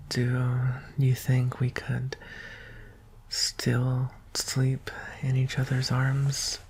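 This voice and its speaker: A man playing a gentle, vulnerable young alien prince in an ASMR roleplay, speaking softly and hesitantly, often in a whisper. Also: mid-range voice, slight background noise. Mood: shy, tender. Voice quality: soft, breathy, often whispering. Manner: hesitant, earnest, slow with long pauses. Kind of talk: ASMR roleplay, soft intimate acting.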